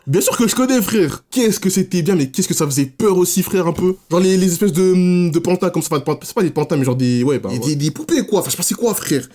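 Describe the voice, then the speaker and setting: Young guy streamer reacting, talks fast, super animated, slangy and exclamatory. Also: sits high. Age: young adult. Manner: slangy, exclamatory street talk. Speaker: streamer reacting to a video for his chat. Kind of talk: casual live reaction chat.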